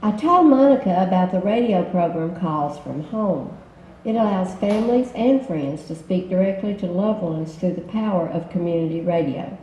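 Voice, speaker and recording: A woman reading scripted promotional narration for an explainer video in a measured, explanatory way. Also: on the low side, lively intonation, warm tone, dull audio. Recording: roomy playback with background noise.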